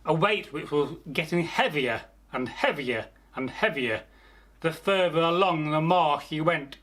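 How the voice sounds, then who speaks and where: A man telling a folktale aloud as a storyteller, theatrical, pausing mid-phrase and speaking directly to his listeners. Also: on the high side, fairly bright tone. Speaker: storyteller, channel host. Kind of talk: oral folktale storytelling, improvised.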